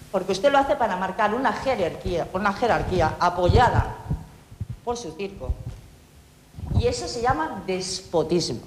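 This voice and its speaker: A woman's voice: medium pitch, long reverb tail, slight background noise, rough recording. A woman from Catalonia, a town councillor, giving a heated, sarcastic speech full of rhetorical questions in a council debate. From Catalonia, Spain.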